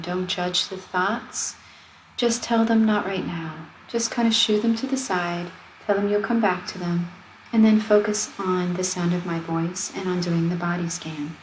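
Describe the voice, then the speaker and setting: A woman leading a guided meditation, speaking in a gentle, soothing, conversational way as she gives simple instructions. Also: mid-range voice, low-quality recording. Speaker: meditation guide, wellness coach. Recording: steady noise of ocean waves. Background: ocean wave sounds.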